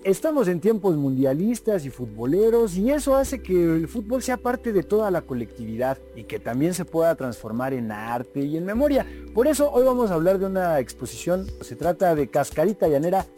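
A man's voice: high-pitched, big pitch swings, normal speed, few pauses, mellow. A man with a Mexican accent presenting and interviewing as a radio host. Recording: faint music behind.

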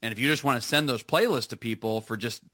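A man's voice: medium pitch, fast, a bit bright, no echo, studio quality. A man as a guest on a live radio show, chatting enthusiastically with plenty of fillers and restarts. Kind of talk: casual radio conversation.

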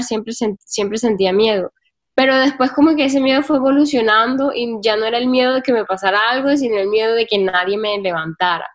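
Candid woman with a Colombian Caribbean accent. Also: a little high. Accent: Colombian Caribbean Spanish. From Caribbean coast of Colombia. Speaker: interview guest, solo traveler and travel writer. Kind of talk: casual interview storytelling.

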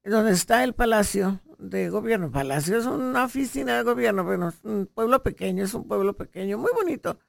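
A woman's voice: mid-range voice, animated, brisk, gravelly, hardly any pauses, dry recording. A woman hosting a radio show, sending chatty, playful greetings to listeners. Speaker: radio host.

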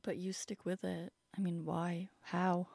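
Low, gravelly woman interviewer. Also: little pitch movement, a little slow, darkish tone, no room sound, clean studio sound. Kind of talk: interview questions.

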